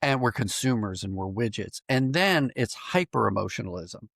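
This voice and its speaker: A man's voice: fairly low pitch, animated, normal speed, creaky, fairly bright tone, no echo, clean studio sound. A man speaking as an interview guest, reflective and conversational, thinking aloud and correcting himself as he goes. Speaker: podcast guest, writer and dramatist.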